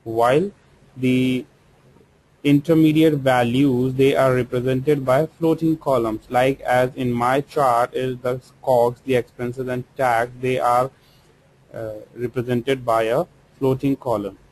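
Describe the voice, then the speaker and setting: A man with an Indian English accent, walking through a software tutorial step by step as a trainer, explaining slowly with occasional fillers. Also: mid-range voice, fairly even intonation, poor audio. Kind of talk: screen-recorded software tutorial. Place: voice-over for screen recording.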